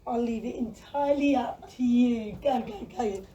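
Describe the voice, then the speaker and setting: A woman acting a gentle, cowardly dragon in a children's audio play, theatrical, fussy and exclamatory. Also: fairly high pitch, moderate pace, dull audio, a little hiss or hum, close to an everyday voice. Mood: silly, stubborn. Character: flamboyant, cowardly, gentle dragon who shuns fighting. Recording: distant microphone.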